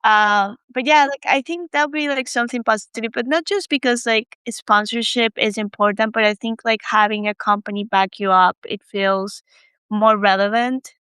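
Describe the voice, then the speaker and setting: Hesitant woman podcast co-host, clear dry voice. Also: a little high, moderate pace, sharp tone, dry recording. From Argentina. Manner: hesitant, many ums and likes. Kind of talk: casual podcast conversation.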